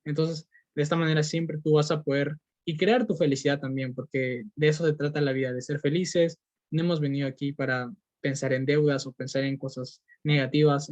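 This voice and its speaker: A man's voice: on the high side, darkish tone, dry recording. A young man from Peru with a Peruvian Spanish accent, giving an earnest motivational podcast monologue full of fillers and restarts. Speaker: podcast host. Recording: dull lo-fi recording.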